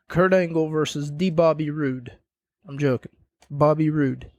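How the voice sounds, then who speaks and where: A man hosting a podcast, casually recapping wrestling matches in a rambling way with sarcastic asides, his voice starting to go hoarse. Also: on the high side, a little mellow, no echo.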